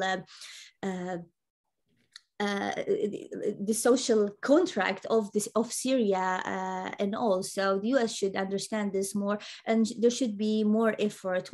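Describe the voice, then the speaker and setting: A woman whose first language is Arabic, giving policy analysis as a panel speaker in a halting, hesitant way. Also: medium pitch, darkish tone. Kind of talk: spoken policy analysis at a conference.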